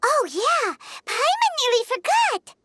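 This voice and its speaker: Extremely high, squeaky little fairy Paimon. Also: female voice, sing-song, slow, pushed and bright, exaggerated voice. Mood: chirpy, curious. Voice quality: squeaky, childlike. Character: small childlike female fairy companion, chirpy, inquisitive, blunt. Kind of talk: animated video game dialogue.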